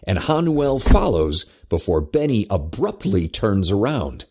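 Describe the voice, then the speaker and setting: A man's voice: fairly low pitch, huge pitch swings, measured pace, background noise. A man delivering scripted dramatic narration as the narrator of a story.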